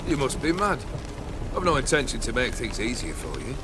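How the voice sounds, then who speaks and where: A man's voice: mid-range voice, very animated, a little fast, very noisy, close to an everyday voice. An actor playing an arrogant, embittered nobleman who leads a bandit band in a video game, speaking in sardonic, archaic phrases. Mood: wry, imperious. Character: haughty, embittered male nobleman leading a bandit company.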